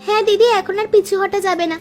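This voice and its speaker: Cartoon role: a woman playing an eager younger daughter-in-law, very high and fast, over light music. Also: steady tone.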